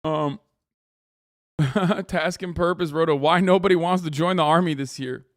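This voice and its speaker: A man's voice: a little high, steady tone, a little slow, no echo, studio quality. A man in his thirties from Turkey, ranting casually and profanely while reacting to clips as a streamer. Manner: profane, emphatic, rambling with restarts.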